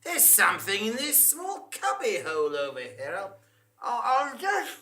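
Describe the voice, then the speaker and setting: A woman's voice: mid-range voice, wildly swooping pitch, moderate pace, bright, forceful tone. A woman acting a reluctant, self-preserving big dog in a live stage comedy, hesitant and exclamatory. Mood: curious. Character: large pet dog, bumbling, reluctant, self-preserving comic companion.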